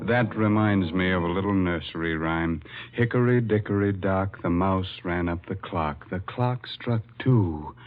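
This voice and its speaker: A dull, vintage radio recording of a ghoulish horror host with a low voice. He is theatrical and mock-sinister, relishing every macabre pun. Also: unhurried, few pauses. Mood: gleefully macabre. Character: ghoulish, wisecracking male horror-show host with macabre puns. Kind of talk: 1940s radio horror hosting.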